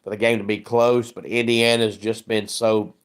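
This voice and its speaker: A man hosting a casual sports livestream, hoarse and scratchy from a head cold, chatting and greeting viewers by name. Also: lowish voice, level tone, normal speed. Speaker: sports channel host.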